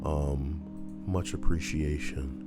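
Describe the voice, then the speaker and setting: A man's voice: very deep voice, steady tone, slow. A man reading stories aloud on a livestream, chatting casually and reacting to what he reads. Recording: guitar music playing underneath.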